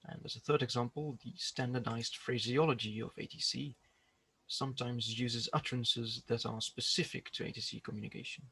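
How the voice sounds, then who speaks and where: A man giving a prepared research presentation in a measured, explanatory way. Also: mid-range voice, fairly even intonation, creaky, sharp tone, breathy edge.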